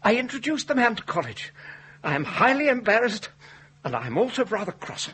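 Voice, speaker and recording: A sharp, high male voice an indignant scholar in a period ghost drama. He is pompous and formal, with lively swings of pitch and exasperation. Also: brisk, roomy sound, recorded from a distance.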